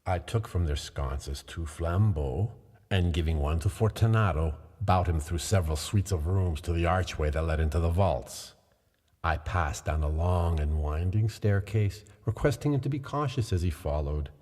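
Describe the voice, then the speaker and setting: A man narrating a classic gothic tale in a measured, deliberate dramatic reading. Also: sits low, a little mellow, big-room sound.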